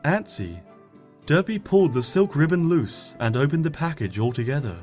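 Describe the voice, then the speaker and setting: A man reading a fan story aloud as an expressive narrator, often dropping to a hush at sentence ends. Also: on the high side, moderate pace. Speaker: fanfiction reader. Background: soft background music.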